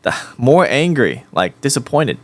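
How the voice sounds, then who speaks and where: A man's voice: mid-range voice, wildly swooping pitch, a little slow, dry recording. A man chatting casually with an AI voice assistant, rambling and repeating himself as he rephrases his questions. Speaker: host.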